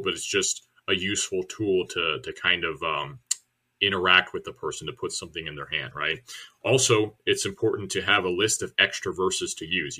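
A young man with a deep voice giving casual, plain-spoken instructions, with many fillers. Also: bright, forceful tone. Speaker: church member teaching soul winning.

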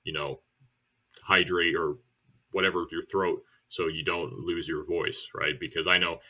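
A young man with a deep voice giving casual, plain-spoken instructions, with many fillers. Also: steady tone, a little slow, sharp tone, slightly rough. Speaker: church member teaching soul winning.

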